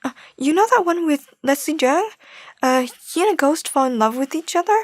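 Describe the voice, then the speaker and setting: A woman's voice: high-pitched, lively intonation, brisk, dry recording. A young woman playing a confident, chatty young singer in an audio drama. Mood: animated, chatty. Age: young adult. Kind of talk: scripted audio drama dialogue.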